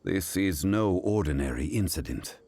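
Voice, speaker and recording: A man voicing a composed, erudite gentleman in a video game, speaking in a formal, measured, courteous way. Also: low-pitched, lively intonation, breathy edge, no room sound, exaggerated voice. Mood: grave, pondering. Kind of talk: video game character acting.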